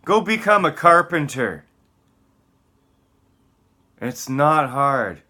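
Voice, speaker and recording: A man's voice: on the high side, very animated, drawn-out words, bright, forceful tone. A man on a rambling solo vlog rant, profane and combative, swinging between muttering and outbursts. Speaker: vlogger.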